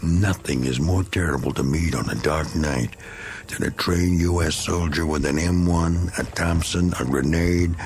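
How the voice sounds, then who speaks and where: An actor playing a cynical, hardened American war veteran in a radio drama, gruff and sardonic. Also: male voice, deep voice, unhurried. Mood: grim. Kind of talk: dramatic radio play acting.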